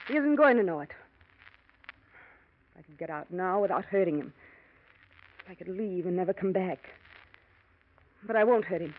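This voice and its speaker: An actress playing a worried young wife who pleads emotionally in a 1940s radio drama. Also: female voice, lowish voice, expressive, normal speed, long pauses. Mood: pained. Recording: dull old radio recording.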